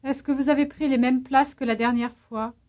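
A woman acting as a language instructor, reading out scripted drill questions in a clear, measured way. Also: sits high, fairly even intonation, a little mellow. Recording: dull lo-fi language tape.

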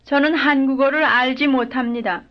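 A woman giving careful, measured full-sentence answers as the model speaker in a recorded language drill. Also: sits high, steady tone. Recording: dull lo-fi old lesson tape.

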